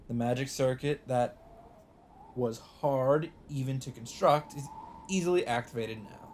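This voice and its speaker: A man reading a visual novel script aloud as dramatic narration, with deliberate, drawn-out phrasing. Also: medium pitch, a little mellow, a little hiss or hum. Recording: music under the voice. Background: music.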